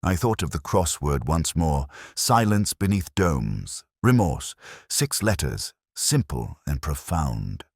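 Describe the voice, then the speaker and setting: Very deep-voiced man narrating a detective story. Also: sing-song, breathy edge, dry recording, clean studio sound. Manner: measured, literary, reflective. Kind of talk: scripted first-person detective fiction narration.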